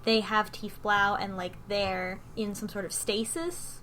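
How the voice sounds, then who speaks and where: A woman's voice: medium pitch, flat delivery, measured pace, sharp tone. A woman on a podcast panel, casually recapping and discussing a game. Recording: music behind the voice. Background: music.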